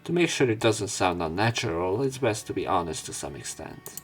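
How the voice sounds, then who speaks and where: A man's voice: sits low, animated, normal speed, soft background music. A man doing let's play commentary, reading visual novel narration aloud with opinionated asides. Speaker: let's player.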